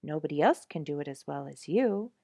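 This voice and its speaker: A cocky young porcupine played by a woman holds forth like a storybook lecturer. The delivery is folksy and blunt, swinging widely in pitch and landing hard on key words. The sound is close and dry. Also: lively intonation, a little fast, gravelly, dark tone, no room sound, full character voice. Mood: folksy, urging. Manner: folksy, emphatic, preaching bluntly. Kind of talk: storybook character speech.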